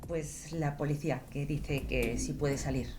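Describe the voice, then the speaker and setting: A woman's voice: deep voice, fairly even intonation, a little slow, background noise. A woman speaking casually in a comic interjection.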